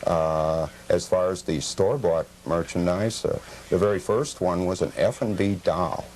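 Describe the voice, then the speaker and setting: An American man with a low voice answers interview questions as a guest collector. He is factual and slightly formal, explaining things in a measured way. The sound is that of an old, slightly noisy television tape. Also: steady tone, a little mellow. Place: television studio.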